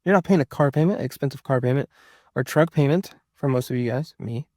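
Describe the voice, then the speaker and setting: A man's voice: medium pitch, quick pace, a little mellow, no room sound, studio quality. A man of about thirty chatting casually to his viewers as a vlogger, rambling and repeating himself for emphasis. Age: around 30. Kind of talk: casual personal chat to viewers.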